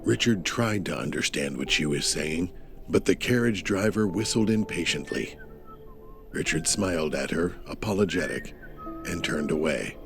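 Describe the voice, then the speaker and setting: A man's voice: low-pitched, expressive, normal speed, vocal fry. A man narrating a scripted audio drama in a dramatic storytelling style. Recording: music bed behind the voice. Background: music.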